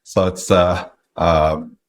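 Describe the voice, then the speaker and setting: A man in his late thirties from Norway with a Norwegian accent, a guest in an interview who speaks in a measured way with frequent pauses. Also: sits low, level tone. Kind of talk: interview conversation.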